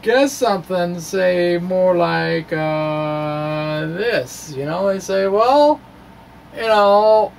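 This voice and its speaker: A man's voice: sits high, extremely slow, gravelly, slight background noise. A middle-aged man hosting a science video blog, reading in a quirky, wry, dramatic way with casual asides. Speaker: host of a physics video blog. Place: small indoor room with bookshelves. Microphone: stand microphone just below the face.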